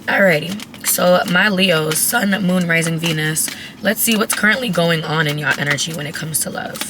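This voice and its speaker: A woman who has lost her voice, raspy and cracking, giving a chatty, blunt tarot reading to her viewers. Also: lowish voice, moderate pace, noisy background. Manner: chatty, blunt, swears casually. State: hoarse, voice cracking. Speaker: tarot reader. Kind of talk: casual tarot reading to camera.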